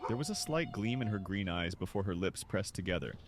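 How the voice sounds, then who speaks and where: Deep, expressive male story narrator. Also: fairly quick. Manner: expressive, dramatic. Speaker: narrator and voice actor. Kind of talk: scripted dramatic story narration.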